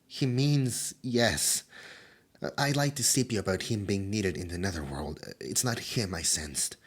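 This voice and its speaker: A man's voice: low voice, lively intonation, measured pace, full character voice. A man playing a hesitant, whispery male demon. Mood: resolved. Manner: hushed, hesitant, stammering. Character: nervous gossipy male demon with intuition. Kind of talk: ASMR audio drama.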